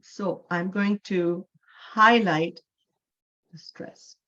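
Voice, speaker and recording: A woman in her forties from Canada teaching an English class online. She explains patiently, slowing down and repeating key words for emphasis. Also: fairly low pitch, steady tone, a bit bright, dull audio.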